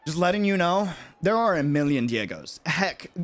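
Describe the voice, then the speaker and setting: A man's voice: a little high, sing-song, normal speed. A young American man reacting casually as a game streamer, slangy and emphatic, often repeating himself for effect. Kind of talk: casual livestream reaction commentary.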